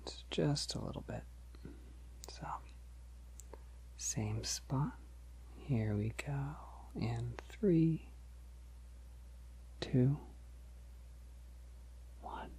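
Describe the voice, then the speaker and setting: A man playing a polite, gentle, shy vampire in an ASMR roleplay, speaking softly and hesitantly to reassure the listener, often near a whisper. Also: mid-range voice, slightly rough, heavily acted. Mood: gentle, reassuring. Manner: hesitant, reassuring, halting with soft chuckles.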